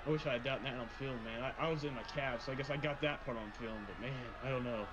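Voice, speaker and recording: A man's voice: medium pitch, quick pace, lo-fi sound. An American man bantering casually and slangily as a co-player in a gaming roleplay, rambling as he goes. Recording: clear outdoor-like game noise behind.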